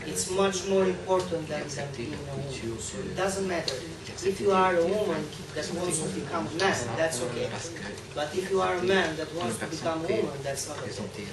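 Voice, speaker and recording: A man from Albania answering an audience question on a panel, halting and thoughtful. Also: a little high, over a noisy crowd. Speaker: panel speaker. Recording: echoey hall, distant mic, loud background noise.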